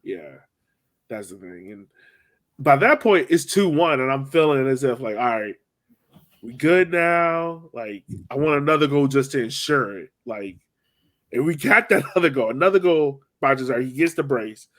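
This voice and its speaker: A man's voice: medium pitch, lively intonation, moderate pace, fairly bright tone. Man chatting casually, slangy and loose. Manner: informal, slangy, filler-heavy with frequent "like". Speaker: soccer podcast co-host. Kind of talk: casual chat, sports match recap.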